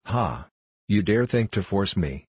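Male reader as nobleman, storybook villain, deep pitch, rough-edged, sneering, haughty, quick to scorn. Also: expressive, dry recording, exaggerated voice. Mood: angry. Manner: snarling, contemptuous, archaic aristocratic oaths. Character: haughty, sneering English duke, bad-tempered and scornful. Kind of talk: audiobook character voice.

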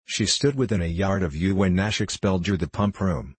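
A man's voice: low-pitched, brisk, slightly rough, dry recording. A man voicing a haughty duke in an audiobook, with a sneering, contemptuous, bad-tempered manner. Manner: snarling, contemptuous, archaic aristocratic oaths. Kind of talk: audiobook character voice.

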